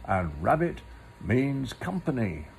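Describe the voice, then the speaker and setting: A man voicing a friendly, slow-witted storybook bear who speaks ponderously and politely and hums to himself. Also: medium pitch, sing-song, gravelly, a little hiss or hum, exaggerated voice. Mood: musing, content. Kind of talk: storybook character voice.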